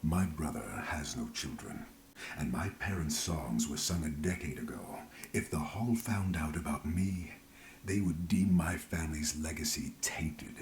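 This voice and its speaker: A man playing a brooding, guarded character in a video game, speaking gravely and formally in dramatic dialogue. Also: rumbling low, measured pace, darkish tone, reverberant, slight background noise. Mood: brooding, confiding. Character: brooding, guarded man haunted by his disgraced past.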